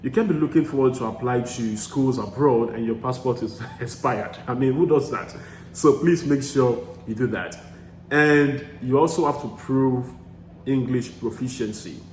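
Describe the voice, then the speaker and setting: A man's voice: medium pitch, moderate pace, a little mellow. A man giving study-abroad advice in a casual talk, earnest and emphatic, repeating key phrases for stress. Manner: frequent restarts and fillers, earnest. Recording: echoey room with faint background noise.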